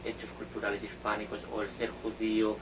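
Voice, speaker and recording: Hesitant low-voiced male interviewer, far off. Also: completely flat delivery, brisk. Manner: hesitant, many fillers while forming questions. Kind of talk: interview questions. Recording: distant mic with loud hiss.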